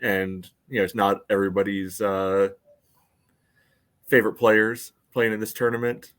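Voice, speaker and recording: A man with a fairly low voice talks casually as a sports podcast co-host. His delivery is wry and dry, coming out in halting pieces. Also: little pitch movement, fairly bright tone.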